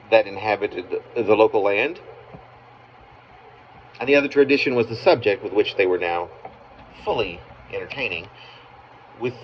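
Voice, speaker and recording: A man reading his own narrative poem aloud as its author, in halting phrases with pauses mid-sentence. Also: sits low. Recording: muffled, noisy amateur home recording.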